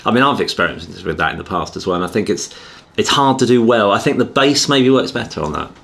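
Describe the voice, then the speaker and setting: A man's voice: fairly low pitch, expressive, fast talker, gravelly, fairly bright tone. A man hosting a casual music podcast, chatting and interviewing in an easygoing way with lots of agreeing interjections. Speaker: podcast host.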